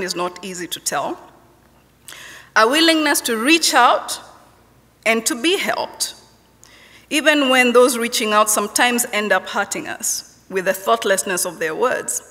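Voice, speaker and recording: A woman in her forties with a Kenyan accent giving a personal testimony in a university chapel, reading from notes in a measured, rhythmic way with repeated refrains. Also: a little high, bright, forceful tone. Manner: slow, measured, rhythmic repetition. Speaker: chapel speaker, professor. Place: university chapel stage at a lectern. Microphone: lectern microphone. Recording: long chapel hall reverb.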